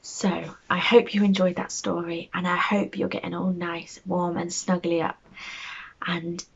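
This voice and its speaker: A woman telling a bedtime story to young children, speaking gently and expressively as she reads aloud and chats to them. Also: fairly low pitch, level tone, unhurried, creaky, muffled recording, a little hiss or hum.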